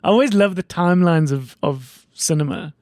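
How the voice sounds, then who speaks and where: A man from South Africa hosting a casual podcast interview, chatty and quick to agree. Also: a little high, a little mellow, dry recording, clean studio sound. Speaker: podcast host.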